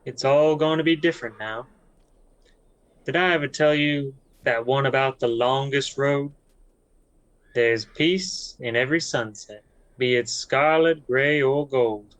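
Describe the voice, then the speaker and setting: Quiet, unhurried young rancher played by a man. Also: mid-range voice, dry recording, natural voice, low-quality recording. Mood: tender, dreamy. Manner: quiet, hesitant drawl. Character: gentle, dreamy young newcomer rancher, naive and sad.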